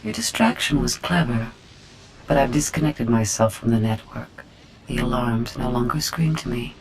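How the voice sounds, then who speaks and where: Male actor as rogue machine, cold, calculating, threatening, mid-pitched, game cutscene, faint noise. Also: normal speed, lightly acted, poor audio. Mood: cold menace. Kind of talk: dramatic video game acting.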